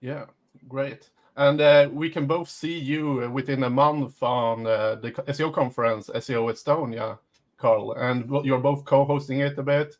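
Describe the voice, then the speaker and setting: A man's voice: mid-range voice, steady tone, moderate pace. Hesitant man hosting a webinar. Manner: hesitant, many fillers. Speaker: host.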